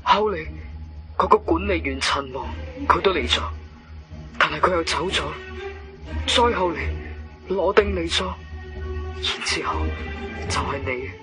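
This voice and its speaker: An actor playing a frightened, despairing young man trapped and near panic, halting and repeating himself in a Cantonese radio drama. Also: on the high side, lively intonation, music in the background. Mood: despairing, subdued.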